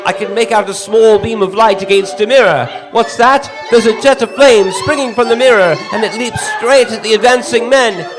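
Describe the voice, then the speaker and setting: Actor as urgent, high-pitched male radio field reporter. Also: normal speed, hardly any pauses, over music, lightly acted. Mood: panicked terror. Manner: breathless, urgent, dramatic eyewitness narration. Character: frantic male radio field reporter, awestruck then terrified. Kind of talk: 1930s radio drama reporting.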